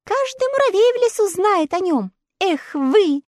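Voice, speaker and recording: A woman voicing a small female squirrel in a storybook reading, squeaky and scolding. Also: very animated, a little slow, darkish tone, no room sound, studio quality, exaggerated voice. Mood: mocking. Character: mocking chattering female squirrel.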